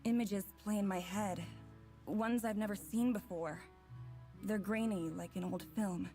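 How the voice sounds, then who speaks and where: A woman's voice: mid-range voice, fairly even intonation, a little slow, mellow, faint music behind, natural voice. An actress playing an anguished young woman who narrates a fairy vision in a dramatic visual novel. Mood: unsettled, awed.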